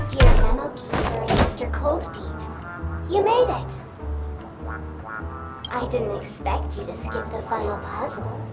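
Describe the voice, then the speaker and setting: A woman voicing a mischievous young female trickster in a video game, teasing and showy like a stage announcer. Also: a little high.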